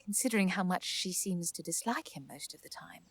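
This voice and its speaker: A woman's voice: on the low side, a little fast, husky, no room sound. A woman playing a genial druid lady's companion in a fantasy audio drama, formally worded yet playful. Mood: amused. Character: genial, teasing female druid companion to a lady.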